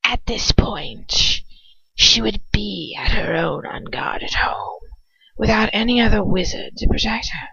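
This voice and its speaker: A woman voicing a cold, menacing male dark wizard in a whispery hiss, slow and calculating, in an amateur audiobook reading. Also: medium pitch, expressive, gravelly, husky, exaggerated voice. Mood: cold, scheming. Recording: roomy, muffled lo-fi home recording.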